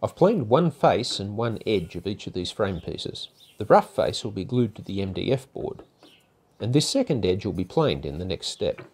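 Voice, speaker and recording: Calm older man, lively instructional narration. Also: lowish voice, normal speed, husky. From Australia. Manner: calm, methodical step-by-step explaining. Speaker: woodworking channel host. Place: home garage workshop.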